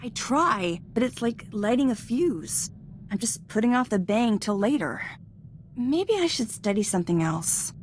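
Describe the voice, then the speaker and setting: A woman's voice: a little high, big pitch swings, unhurried, a little hiss or hum. An actress playing a modest, eager young female Jedi apprentice in a video game, earnest and a little hesitant in a conversational way. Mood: earnest, self-doubting. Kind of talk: scripted video game voice acting.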